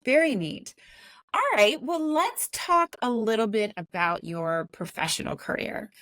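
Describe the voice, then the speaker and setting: A woman's voice: on the high side, expressive, unhurried, a bit bright, no echo, studio quality. A woman hosting an interview in an informal, conversational way, affirming what her guest says. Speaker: interview host.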